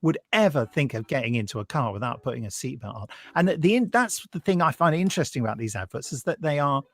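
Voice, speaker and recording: A British man co-hosting a podcast, recounting things in casual chat as an animated storyteller. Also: mid-range voice, fast talker, dry recording. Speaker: podcast co-host.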